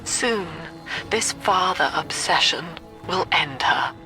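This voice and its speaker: A cold, controlling British matriarch, a video game villain who preaches in formal, measured phrases. She speaks slowly and with solemn zeal. Prominent music plays behind her. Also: fairly low pitch, expressive, bright, forceful tone, heavily acted. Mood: bitter, accusing. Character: cold, zealous cult-leader mother, controlling and severe.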